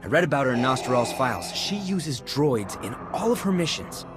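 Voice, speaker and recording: Actor as determined male comrade, earnest, insistent, medium pitch, clear music underneath. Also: very animated, moderate pace, natural voice. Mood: urgent conviction. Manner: insistent, serious. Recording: game music behind the voice.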